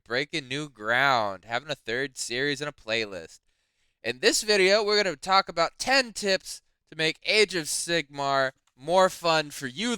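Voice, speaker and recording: A man's voice: on the high side, pushed and bright, clean tone, dry recording. A man hosting a tabletop gaming lesson video, upbeat and chatty, tired from staying up late. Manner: upbeat, hyped greeting. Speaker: host. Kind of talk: casual video intro.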